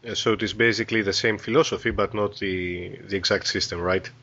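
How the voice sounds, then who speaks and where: A man hosting a podcast interview, asking questions haltingly with frequent uh fillers. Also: fairly low pitch, fairly even intonation, bright, forceful tone, husky. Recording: dull lo-fi audio.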